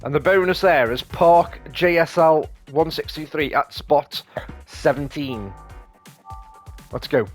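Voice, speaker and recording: A man's voice: a little high, takes it slowly. A man hosting a gaming video, casually explaining play and reading tutorial text aloud in a rambling, explanatory way. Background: light game music.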